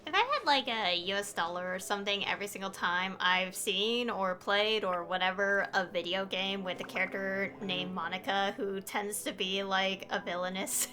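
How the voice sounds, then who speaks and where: A woman's voice: mid-range voice, normal speed, few pauses, sharp tone. A woman streamer giving casual, rambling video game commentary, often interrupting herself. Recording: game music behind the voice. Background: video game music.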